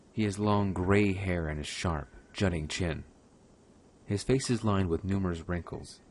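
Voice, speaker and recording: A man narrating a radio drama from a script in a measured, descriptive way. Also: low-pitched, darkish tone, slight background noise, lightly acted.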